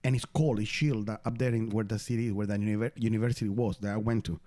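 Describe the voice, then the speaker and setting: A man from Venezuela, a non-native English speaker, hosting a casual podcast chat, swearing freely and telling stories in animated, broken English. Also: lowish voice, quick pace. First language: Spanish. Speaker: podcast host.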